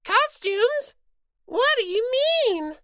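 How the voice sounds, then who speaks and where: Squeaky, indignant little rabbit mascot. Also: female voice, animated, slow pace, bright, forceful tone, no room sound, clean studio sound, exaggerated voice. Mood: suspicious, scolding. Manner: whiny, scolding, exclaiming. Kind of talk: dramatized audiobook character reading.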